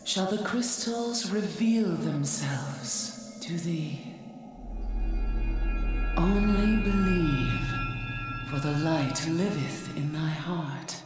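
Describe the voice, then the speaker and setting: A woman's voice: deep voice, extremely slow. A woman playing a benevolent motherly goddess in a fantasy game, speaking in grave, stately, archaic phrases. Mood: solemn pleading. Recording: echoing voice over loud music.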